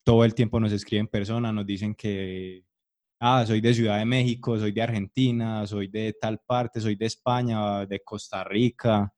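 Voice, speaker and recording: A man's voice: fairly low pitch, little pitch movement, normal speed, darkish tone. A man, a podcast co-host on a video call, earnestly and steadily explaining plans. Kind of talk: casual chat over a video call.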